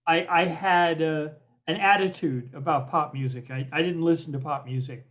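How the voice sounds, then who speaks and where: A man hosting an interview, asking friendly questions, repeating words and pausing with fillers. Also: fairly high pitch, steady tone, a bit bright. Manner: halting, repeats words and fills with uh. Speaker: podcast host and interviewer. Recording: muffled lo-fi audio.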